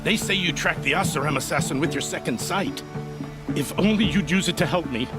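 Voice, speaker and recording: A man's voice: fairly high pitch, a little fast, close to an everyday voice. A man playing a proud, wealthy nobleman in a video game, with a florid, theatrical and formal way of talking. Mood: pleading, dramatic. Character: proud, wealthy nobleman, grandiose yet anxious for family. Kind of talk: video game drama acting. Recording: music behind the voice.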